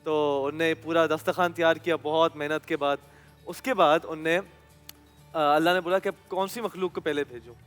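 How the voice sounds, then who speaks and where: A man's voice: a little high, steady tone, normal speed, fairly bright tone, soft background music. A man with a Pakistani accent telling a religious story conversationally, repeating phrases for emphasis.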